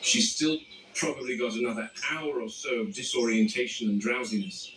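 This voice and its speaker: A man plays a grave, wary animal handler narrating a found-footage documentary. He speaks in measured, formal phrases at a medium pitch. The sound is echoey and distant, with clear tape-like noise. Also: a bit bright, lightly acted. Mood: clinical, wary. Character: male game warden and animal expert, grave, wary, exacting.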